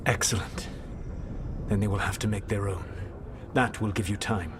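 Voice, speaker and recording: An actor playing an ancient elven god in a video game, speaking in a grave, formal and measured way with a learned air. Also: male voice, fairly low pitch, noisy background, close to an everyday voice. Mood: grave counsel. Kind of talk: dramatic video game dialogue.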